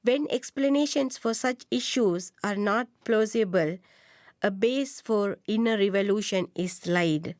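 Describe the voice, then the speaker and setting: A woman from Sri Lanka, a writer, telling her life story in a measured, deliberate first-person narration. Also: medium pitch, darkish tone, no room sound. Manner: slow, measured, deliberate reading.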